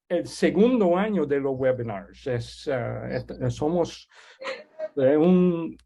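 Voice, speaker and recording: A man whose first language is French, hosting an online webinar and introducing a guest speaker in a halting, casual way with many restarts. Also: fairly high pitch, a little mellow. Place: online video call.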